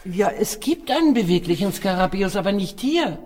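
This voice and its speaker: A woman's voice: mid-range voice, big pitch swings, brisk, darkish tone. A woman playing a refined, composed yet eager widowed Madame on an Egyptian expedition in a German radio play, with a courteous, theatrical delivery. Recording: radio drama with faint music.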